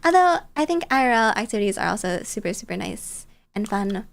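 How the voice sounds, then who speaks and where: A woman's voice: medium pitch, very animated, a little fast, gravelly. A woman streamer telling stories casually in a bubbly, playful, cutesy way. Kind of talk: casual storytelling chat on a livestream.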